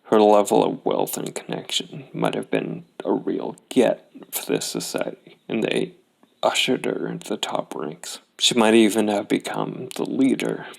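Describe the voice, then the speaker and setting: Man, very creaky low voice, solo game narration, reflective, thinking aloud. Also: lively intonation, unhurried, rough recording. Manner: reflective, reasoning things out aloud. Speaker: solo RPG player and host.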